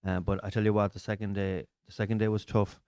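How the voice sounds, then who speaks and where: A man's voice: lowish voice, level tone, fast, dark tone, clear, resonant tone, no room sound. An Irish man hosting a live stream from a small studio, chatting and telling stories with local slang. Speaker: comedian and podcast host. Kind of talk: casual chat on a live stream.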